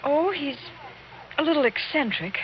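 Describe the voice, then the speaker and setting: A woman's voice: sits high, lively intonation, normal speed, a little hiss or hum. A woman playing an anxious, pleading young niece in a 1940s radio drama, with breathless emphasis. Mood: nervous. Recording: muffled old radio broadcast with music.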